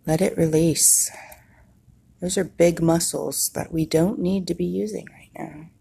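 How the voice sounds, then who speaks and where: A woman guides a meditation in a low, husky voice with a gravelly creak at the ends of phrases. She speaks very slowly and soothingly, leaving long pauses between short instructions. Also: fairly even intonation, dark tone.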